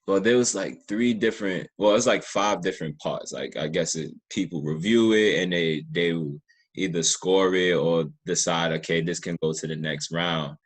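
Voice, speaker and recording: A man's voice: low voice, normal speed, breathy edge. A man hosting a casual podcast interview, speaking in an earnest, effusive and encouraging manner. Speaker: podcast host and writer.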